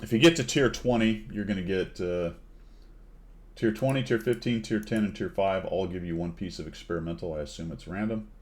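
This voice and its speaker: A man with a somewhat low voice hosts a relaxed gaming chat. He reads notes aloud with amused disbelief. His delivery is easygoing and conversational. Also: fairly quick. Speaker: podcast host.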